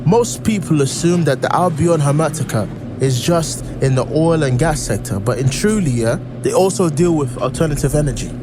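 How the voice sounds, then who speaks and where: A man plays a young male informant in an audio drama, with a slightly high voice. He is friendly and casual as he explains things, and music plays clearly behind him. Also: animated, normal speed, hardly any pauses, lightly acted. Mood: knowing, serious. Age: 20s.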